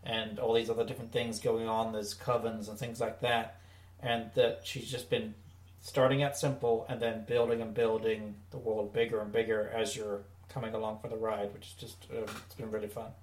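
A man's voice: fairly low pitch, flat delivery, normal speed. A man co-hosting a podcast in casual, rambling, enthusiastic conversation. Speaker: podcast co-host. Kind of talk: casual discussion of audio dramas. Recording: echoey room, distant from mic.

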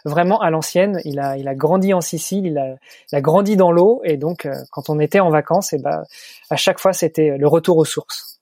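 A man's voice: fairly high pitch, fairly quick, darkish tone, no echo, studio quality. A man from Normandy chatting as a podcast guest, talkative and full of hesitations. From Normandy, France. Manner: chatty, many fillers and restarts. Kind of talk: casual conversation.

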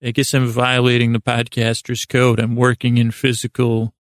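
A man with a creaky, gravelly voice hosting a sleep podcast, delivering a meandering, hesitant, self-deprecating bedtime monologue full of trailing asides. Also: mid-range voice, monotone, normal speed, no echo, clean studio sound. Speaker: podcast host. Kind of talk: rambling bedtime monologue meant to lull listeners to sleep.

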